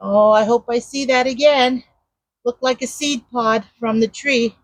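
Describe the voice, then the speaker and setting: Loud, chatty woman gardening outdoors. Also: fairly high pitch, steady tone, unhurried, dry recording. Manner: chatty, rambling, searches for words; talks while digging in the garden. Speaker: gardening vlogger. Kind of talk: casual how-to narration while working. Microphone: mic clipped to a garden trellis.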